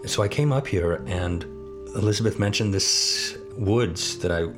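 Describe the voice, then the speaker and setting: A middle-aged man with a very low voice gives a reflective interview, halting and restarting now and then as he searches for words. Loud plucked guitar music plays behind him throughout. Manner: thoughtful, halting, restarts mid-thought. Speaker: documentary interviewee.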